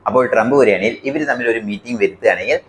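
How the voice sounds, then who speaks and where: A man's voice: mid-range voice, racing, a little hiss or hum. A man from India with a Malayali accent explains the news to viewers as a commentary host, emphatic and point by point.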